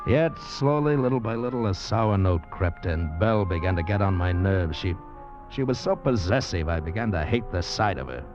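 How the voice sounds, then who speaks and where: A greedy, scheming man with a low voice, confessing and persuading in hard-boiled fashion. It is golden-age radio drama, muffled by age, with prominent music behind it. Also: animated, moderate pace. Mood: brooding, cold. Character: scheming, greedy man narrating his murderous affair. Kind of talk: 1940s-50s radio drama acting. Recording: dull vintage radio recording with music.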